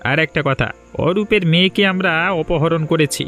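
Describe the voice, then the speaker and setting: Scheming cartoon bandit played by a man, menacing, slightly high-pitched, music underneath. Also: normal speed, fairly bright tone, lightly acted. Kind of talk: Bengali cartoon voice acting. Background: background music.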